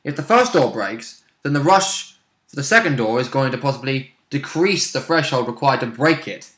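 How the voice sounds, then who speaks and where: A man's voice: mid-range voice, expressive, normal speed, fairly bright tone. A man chatting casually as a tabletop player, in a jokey, sweary way. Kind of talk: casual chat. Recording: echoey untreated room.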